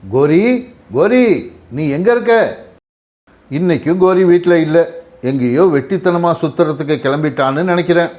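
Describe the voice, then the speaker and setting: Male actor as tired cartoon crow husband, fairly high voice, resigned, lamenting, reproachful. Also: normal speed, pauses often, a little mellow, close to an everyday voice. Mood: weary, resigned. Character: weary hardworking father crow, long-suffering woodcutter. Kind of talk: Tamil animated moral story acting.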